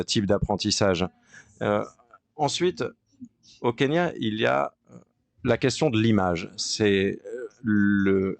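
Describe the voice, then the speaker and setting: A man working as a conference interpreter, giving a halting simultaneous interpretation of a panel discussion with frequent pauses and restarts. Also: lowish voice, dry recording.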